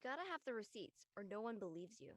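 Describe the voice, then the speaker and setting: A woman playing a chatty, supportive, trend-minded high-school student in an amateur script reading. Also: expressive, fast, close to an everyday voice. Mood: teasing approval. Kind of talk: amateur audio drama table read.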